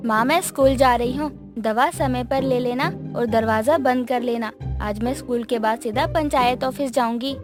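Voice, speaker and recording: Woman playing a gentle, high-voiced young daughter. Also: moderate pace, few pauses, over music, close to an everyday voice. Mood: tender, content. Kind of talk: cartoon story dialogue.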